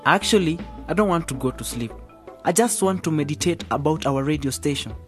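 A man's voice: a little high, lively intonation, fairly quick, faint music behind, lightly acted. An actor playing an earnest young male journalism student who runs a community radio station. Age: young adult. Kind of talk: radio drama acting.

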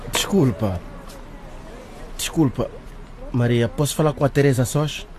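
A man acting a persistent, smooth-talking young suitor in a radio drama, wooing and insistent. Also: medium pitch, a little slow, darkish tone, close to an everyday voice. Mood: pleading.

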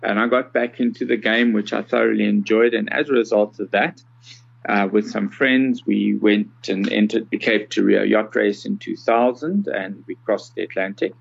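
Older south african guy in his 60s, very creaky deep voice, chatting in an interview, slightly muffled audio. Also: normal speed. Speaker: podcast guest, accessibility consultant. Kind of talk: conversational interview answers.

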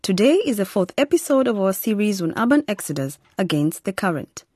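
A woman host giving a clear, scripted introduction to a radio drama episode. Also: medium pitch, sing-song, brisk, darkish tone. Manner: clear, announcing. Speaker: program host. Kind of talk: scripted narration.

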